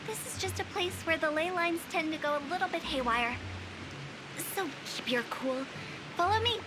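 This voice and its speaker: An actress playing a playful, cheeky young funeral parlor director in an anime video game, teasing and theatrical. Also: female voice, extremely high pitch, lively intonation, moderate pace, over music. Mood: calm, guiding.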